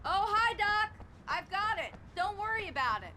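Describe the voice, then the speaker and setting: A woman playing a brisk, capable small-town sheriff in a video game, with an American accent and a matter-of-fact, commanding manner. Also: extremely high pitch, big pitch swings, sharp tone, a little hiss or hum, full character voice. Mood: placating. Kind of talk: video game dramatic acting. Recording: game music behind the voice.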